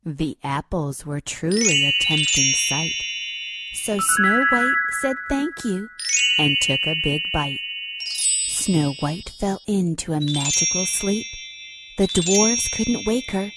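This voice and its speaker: A woman's voice: lowish voice, expressive, slow. A woman storyteller giving a scripted, rhyming fairy-tale narration for children. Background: loud music with chimes.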